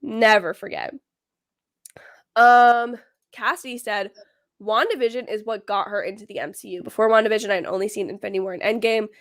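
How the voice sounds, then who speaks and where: A young woman hosting a casual livestream chat, talking fast with many fillers, her voice a little hoarse and congested from a cold. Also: mid-range voice, steady tone. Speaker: livestream host.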